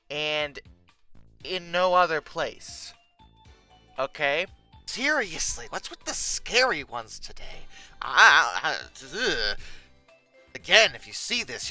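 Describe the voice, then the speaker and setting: Bright, animated comedic video host. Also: mid-range voice. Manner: theatrical mock exasperation, swinging from deadpan to outbursts. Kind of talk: comedic commentary reacting to pictures.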